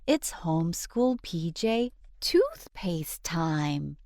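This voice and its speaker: A woman reading a rhyming children's book aloud in an expressive, sing-song storyteller's delivery. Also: fairly low pitch, extremely slow, no room sound, studio quality.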